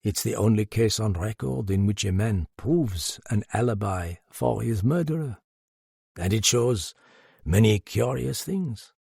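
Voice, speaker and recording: A man acts out a bearded French detective from a mystery audiobook, cool and cryptic. He speaks with measured authority in a husky, creaky voice. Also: on the low side, animated, a little mellow, no echo, studio quality, full character voice. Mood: cool, probing.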